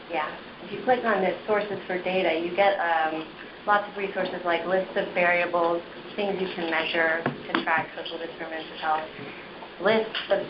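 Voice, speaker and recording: Woman, soft-spoken, lowish voice, epidemiologist presenting slides, measured and explanatory, muffled phone line. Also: background noise. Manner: measured, explanatory, with frequent ums and restarts. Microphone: phone on the table.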